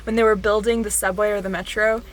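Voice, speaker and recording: A woman's voice: medium pitch, level tone, fast. A young woman from Bulgaria chatting casually as a travel vlogger, explaining the sites with lots of "like" fillers. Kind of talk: casual vlog narration. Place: outdoors at monuments and sites. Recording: faint outdoor and vehicle noise.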